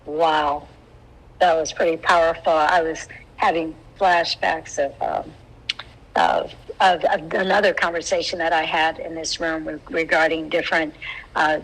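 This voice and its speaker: A woman's voice: low voice, level tone, unhurried, poor audio. A woman moderating an audio chat room, making brief appreciative remarks over a phone. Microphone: phone through an audio chat app.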